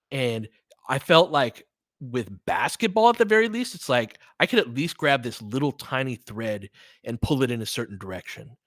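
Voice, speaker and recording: A man's voice: mid-range voice, a bit bright, no echo, clean studio sound. A man hosting a sports podcast, chatting off the cuff in an earnest, rambling, freely profane way. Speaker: podcast host and sports play-by-play streamer. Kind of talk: unscripted casual chat with listeners.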